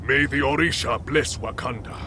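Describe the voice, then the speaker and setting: An actor playing a solemn, regal warrior king in a video game, speaking in a measured, formal manner. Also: medium pitch, background noise, natural voice.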